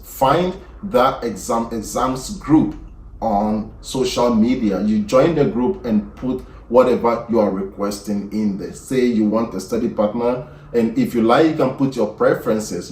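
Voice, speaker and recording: A man hosting an exam prep channel, giving unscripted study advice in a measured way with frequent fillers and restarts. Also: on the low side, darkish tone. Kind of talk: unscripted advice talk to camera. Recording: echoey room, voice from a distance.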